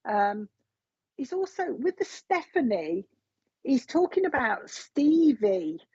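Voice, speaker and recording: A woman talks in a chatty, rambling way and peppers her speech with fillers. Her voice sits a little high and sounds husky. The recording is dry and slightly dull. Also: very animated, slow pace, dark tone, dry recording. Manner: chatty, relaying messages with frequent fillers and asides. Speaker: medium giving a reading. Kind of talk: spoken psychic reading.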